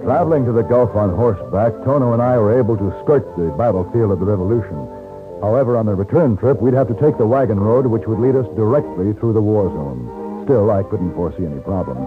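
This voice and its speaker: An actor playing a self-assured, wry western gentleman adventurer in an old radio drama, with an American accent. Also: fairly low pitch, expressive, fast, music in the background, close to an everyday voice. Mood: narrating. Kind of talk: old-time radio drama acting, first-person narration. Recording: tinny old radio recording, band-limited.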